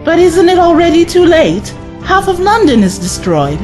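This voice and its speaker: A woman's voice: very high voice, sing-song, normal speed, over music. A woman playing an earnest, principled companion to a time traveler, urgent and emotional, in a science-fiction audio drama. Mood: startled, urgent.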